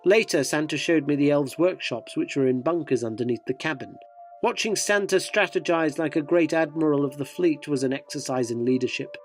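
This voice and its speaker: Inquisitive reporter-narrator male actor for radio drama: earnest, probing, dryly descriptive, slightly high voice, music underneath. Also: a little fast, close to an everyday voice.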